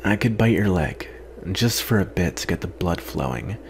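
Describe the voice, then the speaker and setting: A man playing a guarded, weary yet secretly tender vampire in an intimate ASMR roleplay, soft-spoken with halting pauses. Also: sits low, expressive, a little hiss or hum. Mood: gentle, hesitant.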